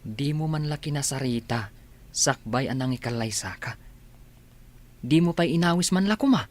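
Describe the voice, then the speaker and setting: A man's voice: mid-range voice, expressive, moderate pace, lightly acted. A man playing a reproachful confidant in an Ilocano radio drama, speaking in a probing way with an Ilocano accent. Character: questioning, reproachful male confidant.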